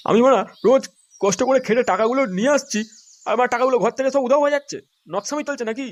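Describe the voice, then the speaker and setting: A man's voice: very high voice, racing. A man voicing a suspicious, crude husband in a comic cartoon, grumbling and accusing on a noisy recording. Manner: accusing, swearing.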